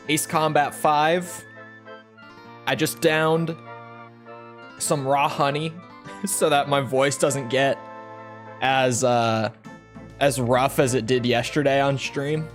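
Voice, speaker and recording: A man streams a game and chats to his viewers in a joking, upbeat way. His voice sits in a mid range and sounds a little rough. Faint music plays behind him. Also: mid-range voice, steady tone, slow, long pauses. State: slightly rough voice. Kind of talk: casual live-stream chat over gameplay.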